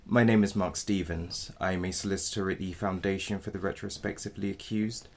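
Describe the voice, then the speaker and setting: An actor in an audio drama playing a polite but blunt defence solicitor, gravelly and measured in his delivery. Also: low-pitched, fairly even intonation. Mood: polite, sympathetic. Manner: measured, matter-of-fact, formally polite. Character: apologetic but blunt male defence solicitor in dystopian future.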